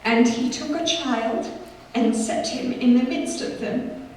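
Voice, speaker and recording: A sorrowful mother in a live radio drama, with a high voice. She is gentle and careful, holding her grief in. The sound is distant, ringing in a large hall. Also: slow. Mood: restrained grief. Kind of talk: live stage radio play. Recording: echoey hall from far off, faint noise.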